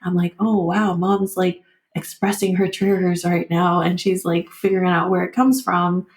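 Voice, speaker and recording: A woman whose first language is Vietnamese, talking candidly and reflectively in an interview, halting and often saying "like" and "you know". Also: fairly low pitch, flat delivery, reverberant. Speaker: podcast guest.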